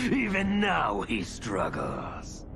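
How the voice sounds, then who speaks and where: A man's voice: mid-range voice, wildly swooping pitch, drawn-out words, noisy background, full character voice. A man playing a gloating, bloodthirsty warrior with a theatrical, relishing delivery in a video game cinematic. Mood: gloating, exultant. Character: gloating, bloodthirsty male warrior, savoring combat.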